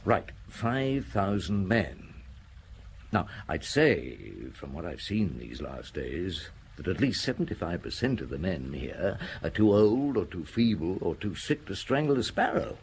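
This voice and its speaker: A shrewd middle-aged detective in an audio drama, with a low voice that moves expressively. He muses step by step with dry humour, over faint background noise. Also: very animated, measured pace, darkish tone. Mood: dryly amused. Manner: musing, methodical, dryly humorous.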